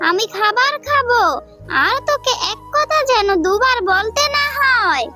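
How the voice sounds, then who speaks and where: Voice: very high-pitched, lively intonation, unhurried, pushed and bright, soft background music, exaggerated voice. A performer voicing a cruel, nagging aunt in a children's cartoon, harsh, scolding and demanding. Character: cruel, nagging aunt ordering an orphan around.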